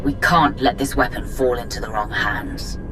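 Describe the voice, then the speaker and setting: A woman's voice: extremely low pitch, steady tone, moderate pace. An actress playing an authoritative, terse woman who commands a galactic alliance in a video game. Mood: resolute. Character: commanding female Sith leader of a galactic alliance. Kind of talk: video game cutscene acting. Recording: loud music under dialogue.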